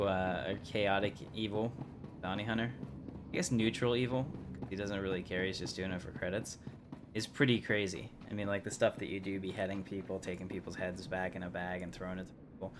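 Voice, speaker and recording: Deep-voiced man casually streaming a game. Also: steady tone, normal speed. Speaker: game livestreamer. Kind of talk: casual live gameplay commentary. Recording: noisy game audio behind voice.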